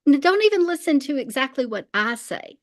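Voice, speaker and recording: High-voiced woman, emphatic faith teacher. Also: very animated, fairly quick. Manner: emphatic, repeats phrases for weight. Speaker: interview guest, faith teacher.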